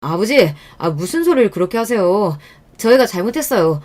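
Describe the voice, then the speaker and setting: A woman's voice: mid-range voice, big pitch swings, fairly quick, darkish tone. A woman voicing a husband who scolds his wife and pleads to appease his parents. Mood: placating.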